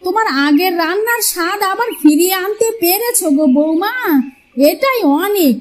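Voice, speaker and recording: A woman's voice: very high-pitched, animated, normal speed. A woman playing a bossy older mother-in-law in a cartoon fairy tale, with a nagging, folksy manner. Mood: fond chiding.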